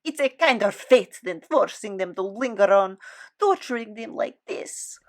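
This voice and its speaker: A woman's voice: mid-range voice, expressive, unhurried. A woman playing a compassionate, conscience-stricken Soviet scientist in a horror audio drama, earnest and pleading. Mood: pleading, defiant.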